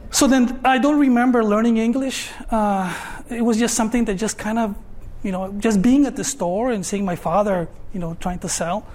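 A man's voice: sits high, normal speed, darkish tone. A man in his fifties from Tijuana with a Mexican accent, speaking as an artist guest in a hesitant, filler-heavy way, ending phrases with "no?". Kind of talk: conversational talk on stage.

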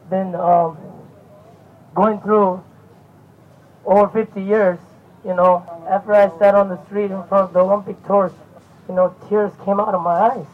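Earnest high-voiced man giving rally speech. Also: narrow, tinny audio. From India. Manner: earnest, impassioned. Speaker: rally speaker. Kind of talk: emotional public speech.

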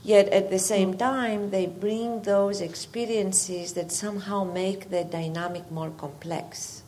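A woman's voice: mid-range voice, fairly even intonation, takes it slowly, mellow, slight background noise. A woman from Greece with a Greek accent, a university faculty member commenting in careful, hesitant phrases at a public discussion.

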